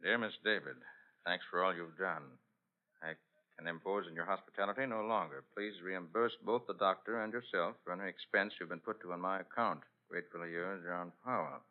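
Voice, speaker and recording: A man's voice: sits low, a little fast, natural voice. An actor playing a confident, shrewd male private detective in an old-time radio drama. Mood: reading aloud, measured. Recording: dull old radio recording.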